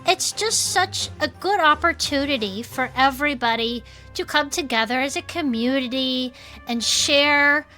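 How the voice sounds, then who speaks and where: A festive small-town co-host on an audio drama show. Her voice is high and bright, with an upbeat, chatty delivery. Music plays underneath. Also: full character voice. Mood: fond, earnest. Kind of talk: improvised in-character audio drama chat show.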